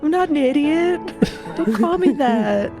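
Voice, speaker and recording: A woman's voice: high-pitched, expressive, measured pace, over music. A woman playing a tabletop roleplaying game, talking casually in a wry, joking way. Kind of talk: casual banter.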